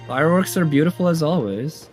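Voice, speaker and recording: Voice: medium pitch, animated, brisk, a little mellow. Casual game reviewer musing over music. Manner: conversational, musing, thinking aloud. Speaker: reviewer reacting to game trailers. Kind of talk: casual reaction commentary. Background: game trailer music.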